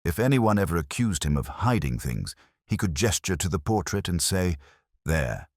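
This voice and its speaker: A man's voice: low voice, sing-song, normal speed, dry recording, clean studio sound, heavily acted. A man playing an unassuming, patient police inspector in a mystery story, polite and understated. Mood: thoughtful.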